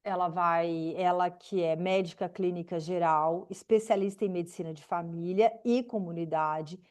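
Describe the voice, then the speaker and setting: A woman's voice: lowish voice, fairly even intonation, moderate pace, a little mellow. A woman informally presenting to an online group as host. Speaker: host introducing a guest.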